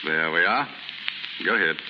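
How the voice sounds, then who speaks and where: An actor playing a kindly, well-off novelist, polite and gently amused, in a 1930s radio drama. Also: male voice, low voice, lively intonation, moderate pace. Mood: reassuring. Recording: muffled, very noisy, reverberant 1930s radio recording.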